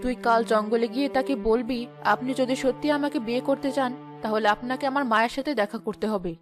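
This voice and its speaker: Woman as a worried cartoon mother, lower-voiced. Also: quick pace, music in the background, natural voice. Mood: cautious. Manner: concerned, advising. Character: worried, cautious middle-aged mother of the princess. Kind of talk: animated fairy-tale dialogue.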